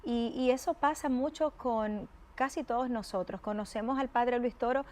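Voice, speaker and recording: A woman's voice: a little high, moderate pace, darkish tone. Effusive woman hosting faith show. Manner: effusive, reverent, lingering on pious phrases. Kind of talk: warm devotional interview.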